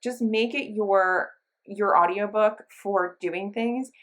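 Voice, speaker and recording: Female book reviewer, medium pitch. Informal talk to camera, enthusiastic and emphatic, loose slangy phrasing. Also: fairly even intonation, slow. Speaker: book reviewer, channel host.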